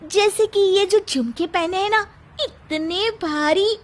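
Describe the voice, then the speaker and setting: A woman's voice: extremely high pitch, slow. A woman voicing a rude, smug college-age girl who teases her family, in an exaggerated sneering cartoon manner. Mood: mocking. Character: young mocking, giggling college-girl sister-in-law, rude and smug. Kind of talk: Hindi cartoon story dialogue.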